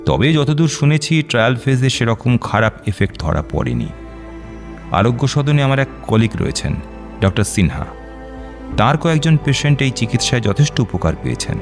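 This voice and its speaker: A man acting in a radio drama as a reassuring doctor who explains things deliberately, with measured pauses. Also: medium pitch, sing-song, soft background music. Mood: informative, measured. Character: measured, reassuring male doctor counselling a client.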